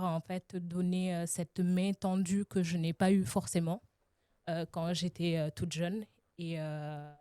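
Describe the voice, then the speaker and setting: Young woman guest, from Senegal, hesitant and thoughtful; darker warm tone, dry close sound. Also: fairly low pitch, dry recording. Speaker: guest, association founder. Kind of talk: casual interview answers.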